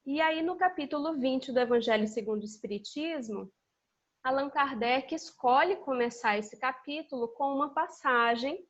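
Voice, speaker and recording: A woman's voice: high-pitched, normal speed. A woman giving an informal religious study talk in a didactic, conversational way. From Salvador, Bahia, Brazil. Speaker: guest speaker. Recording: dull lo-fi internet call audio.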